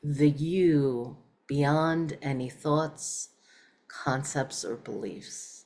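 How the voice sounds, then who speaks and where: A woman leading a guided meditation, speaking in short, measured phrases with pauses between them. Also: low-pitched, a little mellow. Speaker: meditation teacher.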